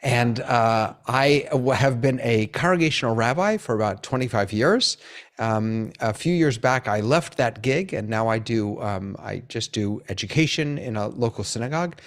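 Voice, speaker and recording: A man's voice: medium pitch, animated, normal speed. An American man, a rabbi and educator, introducing himself on a panel with self-deprecating jokes. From United States. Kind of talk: casual panel chat.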